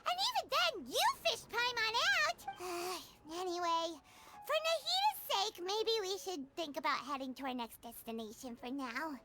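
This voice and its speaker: A woman's voice: extremely high pitch, very animated, normal speed, very noisy. A woman voicing a small, chatty, self-important fairy girl in a video game. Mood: indignant. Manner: refers to self in third person, whiny and bubbly. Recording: game music under the voice.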